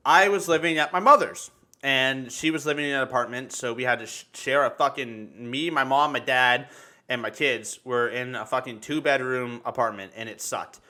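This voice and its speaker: A man chatting casually and telling personal stories, halting and repeating words, swearing freely. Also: medium pitch, sharp tone. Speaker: livestream talk show host. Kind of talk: casual chat, personal storytelling.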